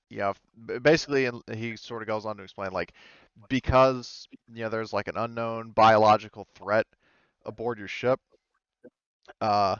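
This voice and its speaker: Hesitant man with a smooth, lowish voice. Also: a bit bright, no echo, highs cut off. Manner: hesitant, rambling. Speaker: game master. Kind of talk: casual improvised tabletop game narration.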